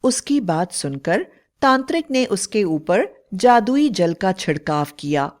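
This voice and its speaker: A woman storyteller narrates dramatically. Her medium-pitched voice moves in animated rises and falls at a slow, deliberate pace, as in a scripted bedtime tale.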